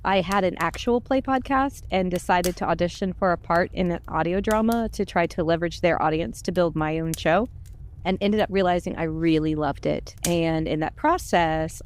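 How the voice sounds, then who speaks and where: A woman's voice: fairly low pitch, a little hiss or hum. A woman chatting casually and telling personal stories in a candid, self-deprecating way. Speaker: podcast voice actor. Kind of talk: casual chat, personal storytelling.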